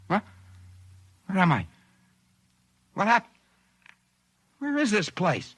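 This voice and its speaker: A flustered, whining American everyman from an old radio play. The voice is high and tense, swinging widely as he pleads and protests. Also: huge pitch swings, takes it slowly, fairly bright tone, slightly rough, dry recording, heavily acted. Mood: bewildered. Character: bewildered, protesting everyman debtor, easily panicked. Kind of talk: 1950s American radio drama acting. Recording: vintage 1950s radio recording.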